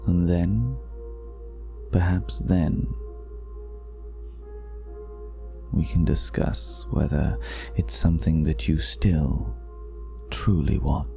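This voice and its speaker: A man playing a seductive, ageless vampire in an intimate ASMR roleplay, speaking slowly and half in whispers, teasing with quiet menace, close to the microphone. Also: faint music behind, exaggerated voice. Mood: tempting. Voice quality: very deep, gravelly. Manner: slow, breathy half-whispered delivery with lingering pauses.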